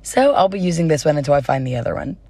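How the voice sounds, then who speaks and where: A woman's voice: deep voice, very animated, rapid-fire, dark tone, no room sound. Young woman podcasting, dry close sound. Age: young adult. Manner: informal, giggly, uses y'all. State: slightly losing her voice. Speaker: podcast host. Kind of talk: casual solo podcast chat.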